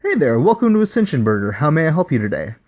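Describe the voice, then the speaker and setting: A man voicing a relentlessly chipper male fast-food cashier who answers everything with sales patter. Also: a little high, big pitch swings, a little mellow, pitched above the performer's own voice. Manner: scripted, cheery sales patter.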